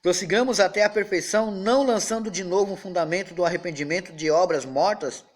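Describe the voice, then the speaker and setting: A man's voice: sits high, fast. A man, a pastor, leading an informal Bible study with a prayer, talking in a chatty way and often ending phrases with tag questions.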